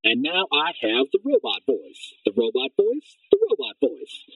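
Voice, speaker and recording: A synthetic robot voice, run through a buzzing vocoder. It is gravelly and very dry, with extreme jumps in pitch. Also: male voice, wildly swooping pitch, moderate pace, no room sound, phone-quality sound, full character voice. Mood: showing off. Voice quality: buzzy, synthetic. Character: robot voice shown off by a streamer. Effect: robotic vocoder filter.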